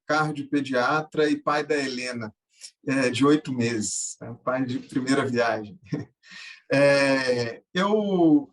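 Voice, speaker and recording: A man, answering interview questions conversationally and haltingly, often repeating words. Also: on the high side. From Minas Gerais, Brazil. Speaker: podcast guest, tech founder and angel investor.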